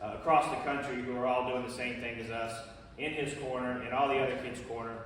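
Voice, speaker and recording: A man in a loose, halting way thanking students at a school presentation. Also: mid-range voice, steady tone, a bit bright, slight background noise. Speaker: charity biker speaking to students. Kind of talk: casual address to a school. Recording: echoey hall from far off.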